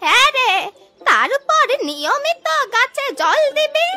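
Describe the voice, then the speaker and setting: Woman voicing a very high, bright scolding old grandmother. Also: very animated, slow, faint music behind, exaggerated voice. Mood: advising. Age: older. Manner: emphatic, admonishing. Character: bossy, scolding old village grandmother, kindly advising. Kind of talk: Bengali cartoon story dubbing.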